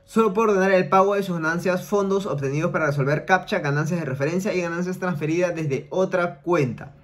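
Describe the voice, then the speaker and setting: Young man, slightly high voice, chatty step-by-step tutorial, small home room, desk mic. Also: fairly quick. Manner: chatty, explains step by step with frequent filler tags. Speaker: online money tutorial host. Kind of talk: casual screen-share tutorial.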